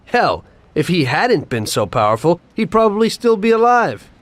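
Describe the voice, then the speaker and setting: A man's voice: sits high, sing-song, normal speed. A man playing a disillusioned mafia gangster with an American accent, reflective and plain-spoken as he recounts his past in a game cutscene. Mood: cynical, reflective. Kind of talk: dramatic game cutscene narration.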